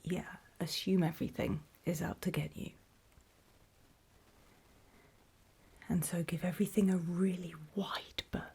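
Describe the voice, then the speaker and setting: A woman playing a weary, wary zombie apocalypse survivor in a halting, breathy whispered ASMR horror roleplay. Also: lowish voice, steady tone, mellow, exaggerated voice. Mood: wary, resigned. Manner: halting, hesitant, slow and trailing off.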